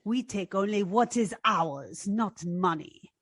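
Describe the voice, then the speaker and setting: A woman's voice: mid-range voice, slow pace, slightly rough, no echo, studio quality. An actress playing a proud, indignant young woman with a haughty, emphatic manner in an old-time radio detective drama. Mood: defiant. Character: proud, indignant young French woman, defiant femme fatale.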